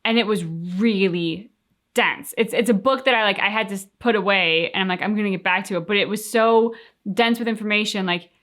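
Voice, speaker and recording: A woman co-hosting a reaction show, talking casually and conversationally with expressive retellings. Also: mid-range voice, fairly quick.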